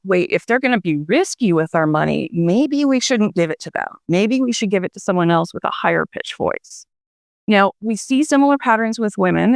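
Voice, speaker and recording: A woman's voice: medium pitch, vocal fry, no room sound, studio quality. A woman psychology researcher explaining her findings in a radio interview, with frequent hedging fillers.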